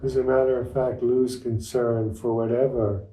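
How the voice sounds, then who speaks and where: A man leading a guided meditation as a teacher, speaking gently and without hurry in short phrases with long pauses. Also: medium pitch, flat delivery. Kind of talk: guided meditation, unscripted and instructional. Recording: roomy echo, distant microphone.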